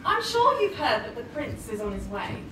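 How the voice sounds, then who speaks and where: Woman as a high, haughty, scheming wicked stepmother. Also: very animated, moderate pace, sharp tone, exaggerated voice. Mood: falsely sweet. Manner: haughty, theatrical. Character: scheming pantomime wicked stepmother, older woman, falsely kind. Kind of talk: live pantomime performance. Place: theatre stage. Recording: echoey distant theatre, noisy audience.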